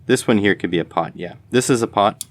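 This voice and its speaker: A man's voice: on the low side, a little fast, no echo. A man giving casual hands-on commentary as host of an electronics teardown video, tentatively thinking aloud as he goes.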